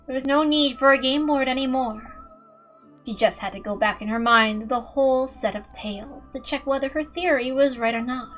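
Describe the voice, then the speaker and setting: High-voiced woman narrating a story. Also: moderate pace, a bit bright, soft background music. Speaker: amateur reader.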